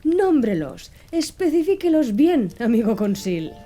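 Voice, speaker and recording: A woman voicing a blunt, plain-spoken male Canadian harpooner in an audiobook, with a gruff, mocking way of talking. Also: high-pitched, very animated, a little slow, warm tone, faint music behind, full character voice. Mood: wry.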